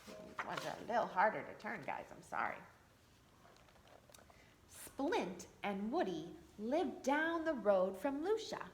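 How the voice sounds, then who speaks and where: A woman's voice: medium pitch, sing-song, slow. A woman reading a picture book aloud to children for a church, with chatty asides to her young listeners. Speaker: church storyteller. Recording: echoey room.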